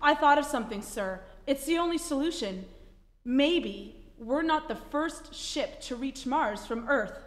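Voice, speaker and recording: A woman playing an eager, excitable spaceship crew member in a radio play, earnest and stammering on stage. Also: high voice, measured pace. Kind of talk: old-time radio play stage acting. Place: theatre stage before an audience. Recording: reverberant theatre hall.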